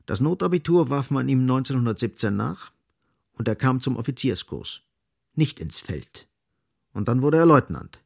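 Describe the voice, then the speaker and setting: Biting literary narrator, gravelly voice, highly varied intonation, grave irony, radio drama. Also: male voice, sing-song, moderate pace. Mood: sardonic. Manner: emphatic, ironic.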